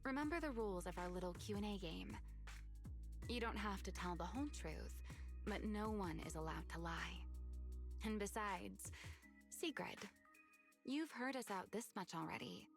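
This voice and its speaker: A woman playing a confident, teasing, sly strategist in a sci-fi video game, with playful, measured theatrical delivery. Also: mid-range voice, close to an everyday voice. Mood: reassuring. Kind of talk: scripted video game voice acting. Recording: game music behind the voice. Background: background music.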